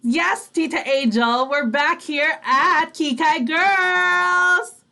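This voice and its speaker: High, bright woman host. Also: very slow. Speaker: online radio show co-host. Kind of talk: casual live show chat.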